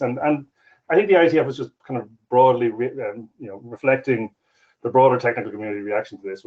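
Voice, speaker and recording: A man giving a conference talk over a remote call, halting often with fillers and restarts. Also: mid-range voice, a little mellow, highs cut off. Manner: hesitant, many fillers and restarts. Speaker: conference speaker.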